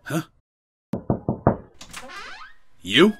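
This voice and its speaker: A man's voice: unhurried, very noisy, close to an everyday voice. A man playing a suspicious, hot-tempered man living under cover in an audio drama, curt and commanding. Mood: concerned.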